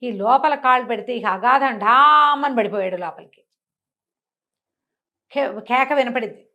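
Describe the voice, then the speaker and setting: A woman's voice: on the high side, a little slow, muffled recording. Woman telling a story conversationally. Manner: animated retelling with rhetorical questions and repetition. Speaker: storyteller on a web show. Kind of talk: conversational oral storytelling of a fantasy folk tale.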